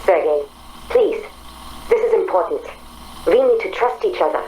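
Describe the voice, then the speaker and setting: An actress playing an earnest, probing female artificial intelligence with a calm, deliberate manner in a video game. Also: mid-range voice, slight background noise, natural voice, poor audio. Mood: earnest, pleading. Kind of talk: dramatic game dialogue acting.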